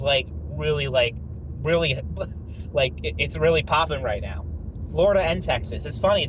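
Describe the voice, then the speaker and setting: A man's voice: fairly high pitch, background noise. A young man giving a rambling, profane political opinion monologue, full of fillers. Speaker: political commentator.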